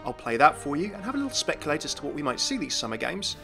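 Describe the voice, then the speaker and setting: A man's voice: fairly high pitch, big pitch swings, rapid-fire, gravelly, over music. A man giving chatty, upbeat video game news commentary, speculating aloud as he presents.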